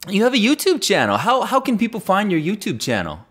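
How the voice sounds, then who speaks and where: Male interviewer, playful and joking, lively pitch, rather high voice, casual questions. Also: brisk. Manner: playful, joking, many restarts. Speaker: interviewer from the game's team.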